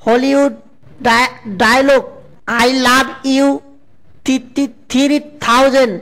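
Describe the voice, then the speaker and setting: A woman's voice: high-pitched, level tone, takes it slowly, a bit bright. A woman voicing a roguish, lovestruck male fox in a cartoon, who stumbles through words with a heavy stutter. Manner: heavy stutter, repeats syllables. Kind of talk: exaggerated cartoon voice acting.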